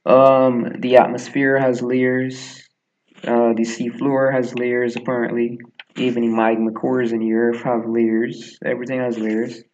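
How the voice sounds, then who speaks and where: A man's voice: mid-range voice, little pitch movement, unhurried, a little mellow, muffled recording. A young man in his twenties with a Barbadian accent, talking casually while he plays a game, wandering through his thoughts with lots of fillers and little chuckles. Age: 20s. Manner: meandering, filler-heavy, chuckling asides. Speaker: gaming vlogger. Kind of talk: rambling casual commentary while gaming. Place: small room at home, echoey.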